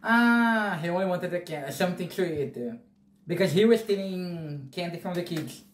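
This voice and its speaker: A Brazilian man in his thirties whose native language is Portuguese, reacting to a horror movie and speaking English with a Brazilian Portuguese accent in a gushing, excitable way, swearing casually. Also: sits high, moderate pace, echoey room. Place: small bedroom, indoors. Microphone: wired earphone mic.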